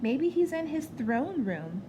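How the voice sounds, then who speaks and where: A caring, practical storybook mother as a woman reads her aloud. Her voice is fairly high, over a clearly noisy recording. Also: sing-song, takes it slowly, heavily acted. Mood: playful.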